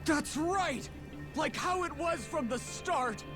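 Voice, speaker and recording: A cheerful, loyal gangster underling from a video game. He speaks with very lively intonation, earnest and eager to please his boss. Music runs behind the dialogue. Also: very high voice, takes it slowly, heavily acted. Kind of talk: dramatic video game voice acting.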